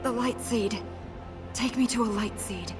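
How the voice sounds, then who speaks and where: A woman playing a devout, resolute young princess in a video game, speaking solemnly and earnestly over loud music. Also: a little high, a little slow, lightly acted. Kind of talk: video game cutscene acting.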